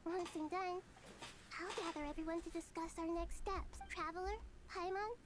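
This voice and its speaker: A woman voicing a kind, earnest, gentle young female character in a video game. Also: extremely high pitch, fairly even intonation, moderate pace. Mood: gentle, concerned. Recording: noisy game audio under livestream.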